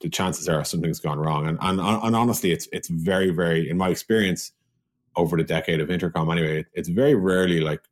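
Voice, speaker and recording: Man, notably low voice, tech executive co-hosting a conversational business podcast, informal and explanatory. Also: fast talker.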